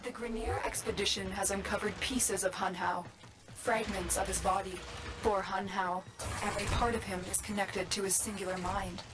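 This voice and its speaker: An actress playing a grave, anxious guide who commands warrior operatives in a video game, speaking in a solemn, measured way. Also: female voice, medium pitch, fairly even intonation, very noisy, lightly acted. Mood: grave, urgent. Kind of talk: scripted video game voice acting.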